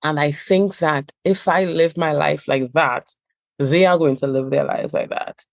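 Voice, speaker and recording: Trinidadian woman therapist, deep-leaning voice, emphatic and earnest, dry studio sound. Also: steady tone, measured pace, vocal fry, no echo. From Trinidad and Tobago. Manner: earnest, emphatic, repeats phrases for stress. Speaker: couples therapist and trainer. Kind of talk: reflective podcast interview talk.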